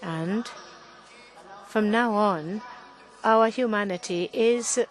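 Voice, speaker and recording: Slow, halting woman interpreter. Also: mid-range voice. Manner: halting, frequent restarts and self-corrections. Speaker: conference interpreter. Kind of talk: simultaneous interpretation of a panel talk.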